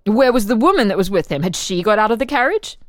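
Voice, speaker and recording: An insistent examining official played by a woman puts methodical, pressing questions to a murder prisoner. The delivery is a dramatic reading at a middle pitch, recorded very dry. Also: lively intonation, quick pace, no room sound, close to an everyday voice. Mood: probing, insistent. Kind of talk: dramatic reading of an interrogation transcript.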